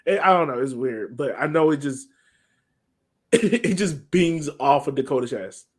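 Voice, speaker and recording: A man's voice: on the high side, lively intonation, a little slow, slightly rough. A man co-hosting a sports podcast, breaking down a soccer match in an informal way, thinking aloud as he goes.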